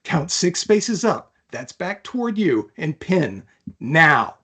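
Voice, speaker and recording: A man's voice: on the high side, big pitch swings, slow pace, pushed and bright, slightly rough, full character voice. A man reading the part of an urgent, decisive woman skiff pilot, calling out clipped orders in a fantasy audiobook. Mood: urgent command.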